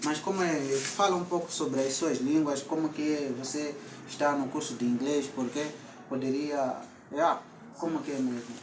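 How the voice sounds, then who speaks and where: Mozambican guy with central mozambique accent, casual chat, loud background noise, far from the mic. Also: on the high side, steady tone, roomy sound. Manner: unhurried, switching between English and Portuguese, frequent fillers. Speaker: vlog host.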